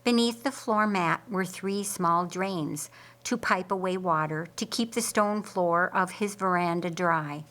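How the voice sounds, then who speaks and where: Slow-paced woman narrating a story. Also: on the low side, a bit bright. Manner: measured, deliberate storytelling. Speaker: audiobook narrator.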